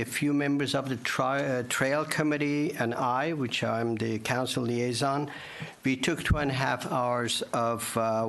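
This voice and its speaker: A councilman who serves as trail committee liaison, giving a measured, orderly report at a meeting. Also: medium pitch, creaky, a bit bright.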